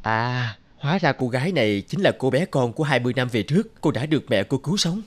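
Man acting a brisk heavenly gatekeeper. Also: medium pitch, animated, slight background noise, lightly acted. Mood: solemn. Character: calm, stern male guardian of heaven's gate. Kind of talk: animated drama dub.

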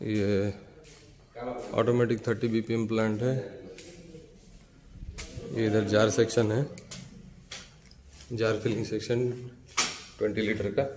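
Lowish man touring a factory line. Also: level tone, slow, pauses often, background noise. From India. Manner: explanatory, points things out step by step to the viewer. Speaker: machine maker's representative. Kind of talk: walk-through demonstration of a bottling plant. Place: large indoor factory floor. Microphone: handheld phone camera while walking.

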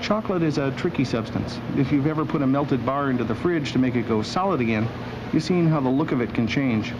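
A man narrating a scripted documentary as host, with a wry, deadpan sense of humor. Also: medium pitch, a little fast. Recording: dull old video soundtrack with machinery noise.

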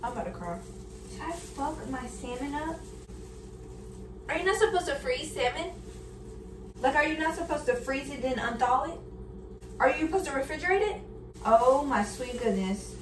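A young woman in her early twenties talks in a fairly high voice, casual and candid, as if to friends following along while she cooks. She is recorded at home in an echoey kitchen, a little away from the mic, with faint noise behind her. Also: lively intonation, moderate pace, pauses often. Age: early 20s. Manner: informal, slangy, candid, occasionally swearing. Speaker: cooking vlogger. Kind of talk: casual chat to viewers while cooking. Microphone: camera on counter, a few feet away. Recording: roomy, distant kitchen recording with background noise.

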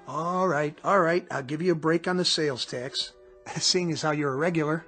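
Man acting a folksy, higher-pitched repairman. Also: moderate pace, fairly bright tone, soft background music, lightly acted. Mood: easygoing. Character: folksy, unhurried working-class TV repairman. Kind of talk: radio drama acting.

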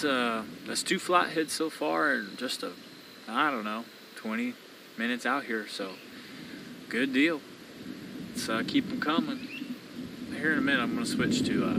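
Young man narrating riverbank fishing, loud outdoors. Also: medium pitch, background noise. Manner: relaxed, thinking aloud while reeling in fish. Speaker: fishing channel host. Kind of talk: casual outdoor fishing vlog. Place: riverbank outdoors, day into night. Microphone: action camera on the body.

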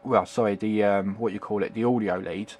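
Man, middle-aged, lowish pitch, hesitant, rambling, casual homemade demo, talking behind a handheld camera. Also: steady tone, brisk, a little mellow, husky. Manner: rambling, hesitant, repeats himself with trailing tags like "I am", "it is". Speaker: hobbyist demonstrating retro computing. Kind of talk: casual unscripted tutorial. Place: indoors at a home computer desk. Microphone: handheld camera's built-in microphone.

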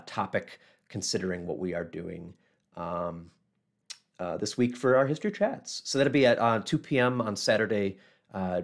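A man in his thirties with a hoarse, scratchy voice from a cold, hosting a casual local history livestream from a small office on a webcam mic, rambling with many ums and restarts. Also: low-pitched.

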